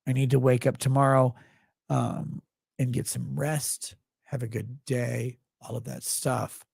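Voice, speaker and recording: Tired, gravelly-voiced man rambling. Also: mid-range voice, flat delivery, a little slow, a little mellow, no room sound, studio quality. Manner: rambling, profane, blunt. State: tired, low on sleep. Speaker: livestream host. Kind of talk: casual livestream sign-off chat.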